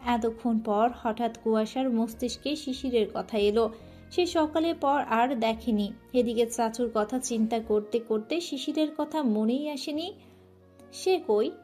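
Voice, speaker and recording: Mellow-voiced woman narrating a dramatic story. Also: fairly high pitch, a little slow, soft background music. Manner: emotive, dramatic reading with occasional restarts.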